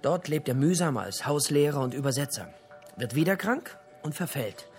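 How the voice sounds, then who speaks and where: An actor playing an investigator in a naturalistic radio drama, laying out findings in a dry, clipped way. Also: male voice, on the high side, expressive, moderate pace, soft background music, lightly acted. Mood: brisk, grim recounting. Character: male investigator, dryly explaining his findings.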